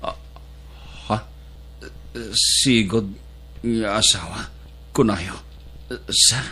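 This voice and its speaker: A man's voice: on the low side, drawn-out words, pushed and bright, husky, heavily acted. A man acting an earnest young mechanic who plans to marry, in a dramatised story. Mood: shocked questioning. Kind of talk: radio-drama style acted dialogue.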